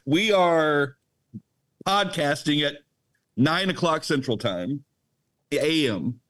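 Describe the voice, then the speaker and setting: A man's voice: fairly high pitch, lively intonation, takes it slowly, a bit bright. Upbeat man hosting a podcast. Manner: upbeat and explanatory, walks listeners through the rules. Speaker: podcast host running a draft lottery. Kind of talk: casual podcast chat. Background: music playing behind him.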